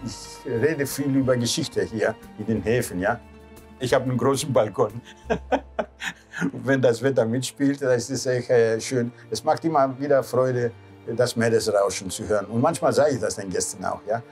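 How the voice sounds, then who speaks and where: A man's voice: medium pitch, moderate pace, darkish tone. Man, 60s, Greek accent, non-native speaker. Ship's captain recalling his life in a casual interview, mid-range voice over music. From Greece. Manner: non-native German with loose grammar, often ending on a questioning "ja". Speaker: cruise ship captain. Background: background music.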